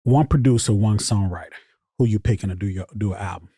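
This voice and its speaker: Low-voiced, husky middle-aged male host. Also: quick pace, warm tone, dry recording, studio quality. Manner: informal, reactive, repeats short interjections. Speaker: podcast host. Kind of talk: casual interview chat.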